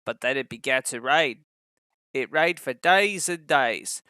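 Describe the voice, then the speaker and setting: A man's voice: medium pitch, animated, a little slow, sharp tone, clean tone, dry recording, studio quality. A man reading a children's story as a scripted narration.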